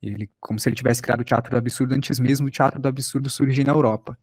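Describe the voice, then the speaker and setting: A man's voice: mid-range voice, fairly even intonation, racing, darkish tone, breathy edge, dry recording. A man with a Brazilian accent giving an informal online lecture as a workshop instructor, talking conversationally and with some self-deprecation about his own experience.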